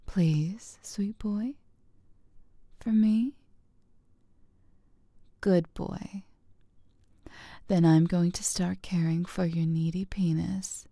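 A woman speaking in a soft, coaxing whisper, close to the microphone, with slow, drawn-out phrases and murmured hums. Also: on the low side, vocal fry, no room sound. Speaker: audio roleplay performer. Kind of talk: whispered intimate audio roleplay.

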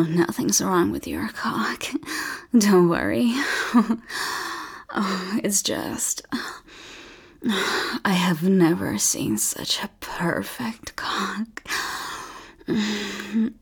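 A woman performing an adult audio roleplay, mostly whispering in a breathy, teasing way with frequent moans. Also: mid-range voice.

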